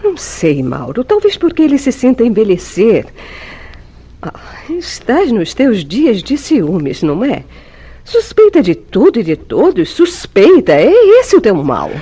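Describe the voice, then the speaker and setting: A woman's voice: medium pitch, wildly swooping pitch, unhurried, dark tone, slightly rough, exaggerated voice. A Brazilian woman acting an evasive, coquettish newlywed wife in a radio soap opera, with theatrical, formal delivery. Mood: evasive, reproachful. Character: evasive, coquettish newlywed wife placating her jealous husband. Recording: radio drama with music behind.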